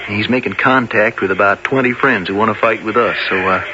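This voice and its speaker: An actor playing an earnest, loyal army captain in a radio drama, a little hesitant and deferential. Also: male voice, fairly low pitch, a little fast, natural voice. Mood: watchful, earnest. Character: earnest, loyal artillery captain on night watch. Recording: muffled late-1970s radio with clear hiss.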